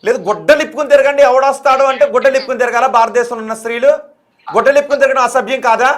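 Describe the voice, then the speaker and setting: Casting: male TV debate anchor, very high-pitched, fast delivery, combative, repeats pointed questions with emphasis. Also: steady tone, fairly bright tone. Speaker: news anchor interviewing a caller. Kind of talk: heated on-air debate.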